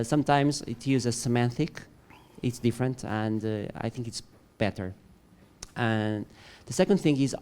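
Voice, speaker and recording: Portuguese accent guy asking a tech question at a conference, smooth clear voice, hunting for words. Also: mid-range voice, darkish tone. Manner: searching for words. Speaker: audience member asking a question. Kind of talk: spontaneous technical question.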